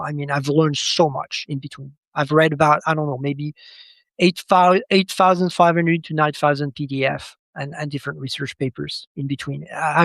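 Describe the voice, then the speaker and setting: Higher-pitched man, halting speech, close dry sound. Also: vocal fry, a bit bright, no room sound. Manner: halting, repeats words and restarts often. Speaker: podcast guest, credit market analyst. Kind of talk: unscripted interview commentary.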